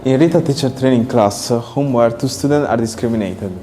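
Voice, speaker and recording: A man's voice: mid-range voice, fairly quick, dark tone, soft background music. A man with an Italian accent narrating a documentary script in earnest, slightly non-native phrasing.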